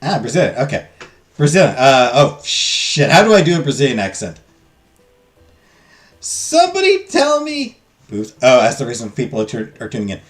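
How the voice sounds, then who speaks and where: A man's voice: mid-range voice, huge pitch swings, moderate pace, faint music behind. A man casually commenting while playing a game, joking, swearing and talking to his viewers. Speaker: let's play host.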